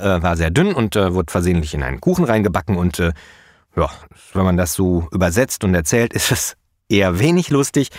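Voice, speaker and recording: A man hosting his own podcast, explaining in a chatty, relaxed way with frequent fillers. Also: fairly low pitch, no echo, clean studio sound. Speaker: podcast host and poet.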